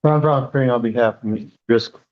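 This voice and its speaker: A man with a medium-pitched voice addresses the court as a defense attorney. The recording is muffled and lo-fi. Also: quick pace. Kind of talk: spoken courtroom advocacy. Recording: dull lo-fi courtroom audio.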